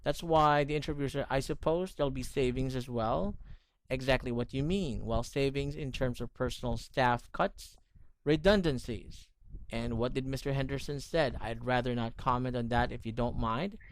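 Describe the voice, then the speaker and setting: A man's voice: mid-range voice, normal speed, no echo. A man teaching English in an online lesson, correcting pronunciation and praising his student often. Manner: encouraging, corrective, repeats praise.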